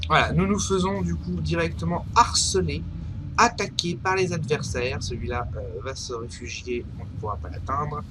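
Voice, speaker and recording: A man with a cold giving chatty gameplay commentary, hesitating often and breaking into excited bursts. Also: on the high side, noisy background. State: has a cold. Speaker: gaming commentator.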